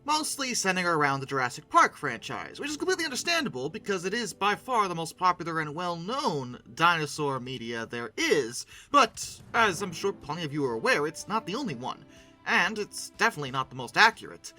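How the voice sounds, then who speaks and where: Male commentator, swooping lively delivery and theatrical emphasis, very sharp forward tone, music underneath. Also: a little high, fairly quick. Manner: animated, playful, theatrical emphasis. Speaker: video host, game reviewer. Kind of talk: conversational video essay commentary.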